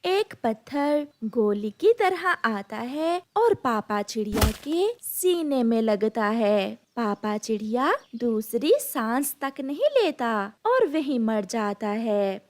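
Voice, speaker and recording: A woman's voice: on the high side, big pitch swings, takes it slowly, hardly any pauses, a little mellow, a little hiss or hum. A woman narrating a scripted moral story for children.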